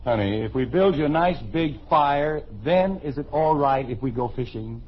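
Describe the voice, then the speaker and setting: A man playing an impatient, wisecracking father and fishing buddy in an old-time radio comedy, with a husky voice. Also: a little high, animated, moderate pace, slight background noise, lightly acted. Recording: muffled old radio recording.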